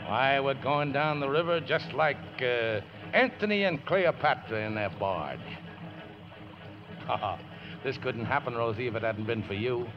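An actor playing a warm-hearted, plucky middle-aged riverboat man with folksy working-class speech, in 1950s radio drama style. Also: medium pitch, expressive, a little slow, noisy background, natural voice. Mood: elated, tender. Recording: dull old radio recording with music.

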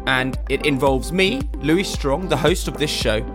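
A man's voice: fairly high pitch, unhurried, over music. A man with a British accent, speaking as the host and interviewer of a podcast. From United Kingdom. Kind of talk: podcast introduction and interview chat.

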